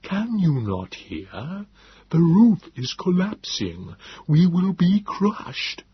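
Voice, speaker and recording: An eerie Morlock creature, drawing out every word with unnatural slowness and a faraway, uncanny tone. The voice is husky and raised in pitch. It comes through a dull, lo-fi recording. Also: male voice, big pitch swings, heavily acted. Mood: cold urgency. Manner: very slow, deliberate and distant. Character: eerie slow Morlock creature, cold and urgent.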